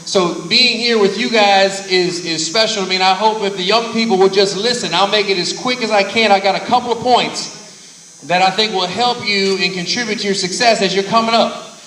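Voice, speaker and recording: High-voiced, bright man speaking in a hall. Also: normal speed, distant mic. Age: 30s. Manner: energetic, repetitive, preacherly emphasis. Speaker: guest speaker. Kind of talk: motivational speech to kids. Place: large banquet hall. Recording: echoey hall recorded from afar.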